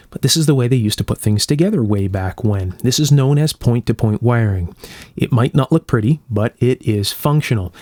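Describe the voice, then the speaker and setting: A man with a mid-pitched voice talks through a hands-on repair job. He explains each step conversationally, like the host of an electronics tutorial. The sound is dry, with very little room in it. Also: a little mellow, dry recording. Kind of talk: hands-on instructional commentary.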